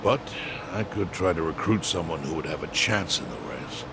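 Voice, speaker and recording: A man voicing a measured, pragmatic older yakuza chairman with a dry, deliberate manner in a game dub. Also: rumbling low, animated, noisy background. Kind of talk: video game English dub acting.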